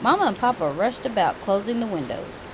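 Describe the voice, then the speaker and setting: Lady doing read-aloud storytime for kids, super animated, lots of dramatic emphasis. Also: mid-range voice, a little fast, background noise. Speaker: children's story reader.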